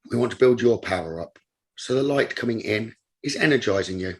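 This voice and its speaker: A man with a lowish, very husky and breathy voice leads a guided meditation. He gives calm instructions in short, unhurried phrases, leaving space between them like a teacher guiding a student inward.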